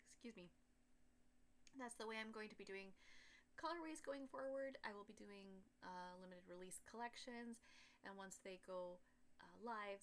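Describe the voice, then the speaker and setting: A soft-spoken woman chats casually as a podcast host, forcing her voice louder than feels natural. She sounds tired from a headache and wanders with fillers. She sits right up to a computer mic with a faint hiss behind her. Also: medium pitch. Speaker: podcast host and yarn maker. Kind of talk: casual solo chat. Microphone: built-in computer microphone, sitting close.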